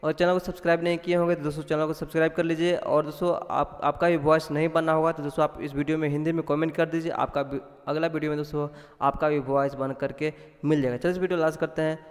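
A man's voice: on the high side, little pitch movement, quick pace. A man from India hosting a casual voice-over for his channel, repeatedly addressing viewers as friends, with long reverb on his voice. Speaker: tutorial channel host. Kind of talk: casual screen-recording voice-over.

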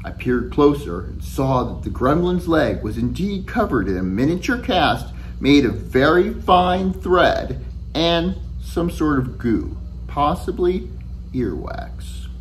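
A middle-aged man, an author reading his children's novel aloud to camera in an expressive, deliberate storytelling manner. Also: fairly high pitch, a little hiss or hum, low-quality recording. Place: furnished room at home, indoors.

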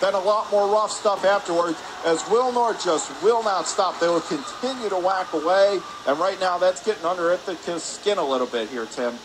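Man, high-pitched voice, live hockey play-by-play, rapid descriptive calls, clear arena noise. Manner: rapid descriptive calls, excited on scoring chances. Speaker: radio play-by-play hockey commentator. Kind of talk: live sports commentary. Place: press area above rink in an indoor arena. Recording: noisy ice arena, steady background din.

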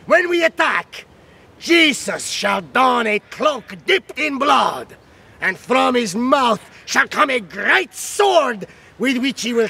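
A zealous medieval cardinal in an audio drama. His voice is strained, gravelly and piercingly bright. He declaims holy war to the troops like scripture. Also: extremely high pitch, exaggerated voice. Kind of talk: fire-and-brimstone sermon in radio drama.

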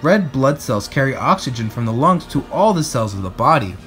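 A man voicing a brisk, knowing woman schoolteacher from a storybook, animated and instructive. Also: medium pitch, faint music behind.

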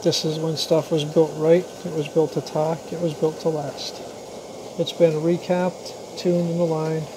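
A man's voice: fairly high pitch, a little slow. An American man giving a casual, matter-of-fact product demonstration in short, plain-spoken phrases. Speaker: radio hobbyist presenter. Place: small indoor room at a radio bench. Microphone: handheld camera or phone mic. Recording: clear steady background hiss and hum.

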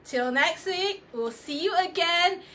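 A woman with a high, bright voice hosts a live shopping show. She chats effusively and coaxes her audience along, with some noise in the recording. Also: expressive, takes it slowly. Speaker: host of a fashion live show. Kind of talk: live sales presenting, chatty.

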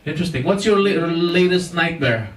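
A man's voice: fairly high pitch, moderate pace. A man in his thirties doing stand-up crowd work on a small club stage, teasing the audience into a handheld mic. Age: 30s. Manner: teasing, animated banter with audience. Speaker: stand-up comedian. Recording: echoey club recorded from far off with crowd noise. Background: audience laughter.

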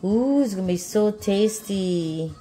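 Slow-talking woman in an echoey kitchen. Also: mid-range voice, lively intonation, noisy background. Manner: repetitive, exclaiming over the food. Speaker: food vlog host. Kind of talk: casual cooking vlog chat. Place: home kitchen, indoors.

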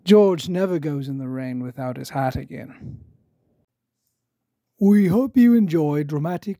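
A storybook narrator giving an expressive, dramatic read-aloud for children. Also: medium pitch, dry recording, clean studio sound. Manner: expressive, theatrical.

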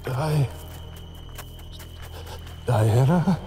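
An actor playing a weakened, injured man in a horror audio drama, strained and halting. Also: mid-range voice, lively intonation. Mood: weak, pained. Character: weakened, injured young man clinging to life. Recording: long reverb, loud music behind.

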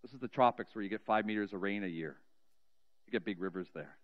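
Earnest pastor on a dull headset mic. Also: male voice, on the low side. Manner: earnest, with slow drawn-out emphasis and trailing soft endings. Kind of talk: sermon storytelling and spoken prayer. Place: large church sanctuary, indoors. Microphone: cheek headset mic.

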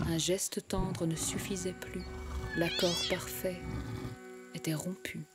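Slow, low-voiced woman narrating over prominent music. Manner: solemn, lyrical, measured delivery. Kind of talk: poetic storybook narration of an animated short. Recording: music under the voice.

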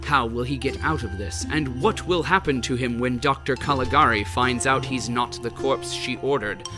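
A man's voice: medium pitch, normal speed, lightly acted. Man playing a theatrical radio serial announcer over music. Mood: suspenseful. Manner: theatrical, portentous. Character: grandiose old-time radio serial announcer. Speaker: narrator and announcer of a radio drama. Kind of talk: scripted radio serial narration.

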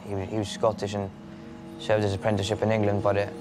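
A young man from Norway, a jockey, giving relaxed, measured interview answers. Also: fairly low pitch, music in the background.

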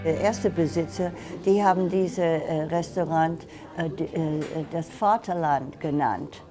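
An older woman from New York with an American accent, speaking halting German with pauses and restarts. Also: sits low, over music. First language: English. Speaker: interviewee.

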